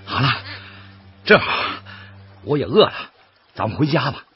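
Muffled radio drama audio with music behind carries a kindly Northeastern Chinese man who works a ferry boat. He speaks in a folksy, earnest way, with a wide, swinging pitch. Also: sing-song, normal speed, slightly rough, full character voice. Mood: amused. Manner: folksy, earnest, plain-spoken. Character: kindly, principled boatman and bird protector. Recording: dull radio recording with loud music.

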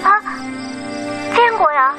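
A woman's voice: very high-pitched, animated, slow, loud music behind the voice, heavily acted. A woman playing a stubborn, clever young African boy, a childlike grandson in a radio drama. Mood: curious, cheeky.

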